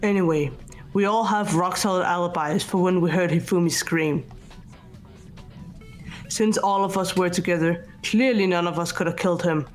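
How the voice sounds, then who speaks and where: A woman playing a composed, logical student detective in an amateur game dub, speaking in a measured way over music. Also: fairly low pitch, close to an everyday voice. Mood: calm, analytical. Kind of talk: scripted amateur visual novel voice acting. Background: background music.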